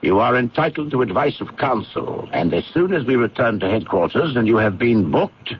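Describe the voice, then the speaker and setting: Male actor as courteous, commanding inspector, vintage radio drama: lively intonation, formal diction, noisy dull recording. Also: medium pitch, close to an everyday voice. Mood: stern, controlled. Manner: formal, measured, authoritative. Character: unflappable, courteous English police inspector, a grown man.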